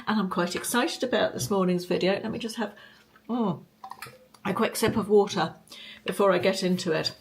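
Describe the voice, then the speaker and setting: A woman's voice: medium pitch, normal speed, creaky, slight background noise. A British woman in her late fifties talks chattily to camera, rambling and digressing with frequent small chuckles, recorded on the camera mic with no lapel mic. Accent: British English. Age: 50s. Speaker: vlogger.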